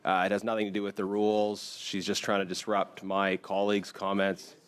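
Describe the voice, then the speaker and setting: Deep-voiced, dry Canadian man debating procedure. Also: monotone, fairly quick, smooth. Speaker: member of parliament. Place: committee meeting room.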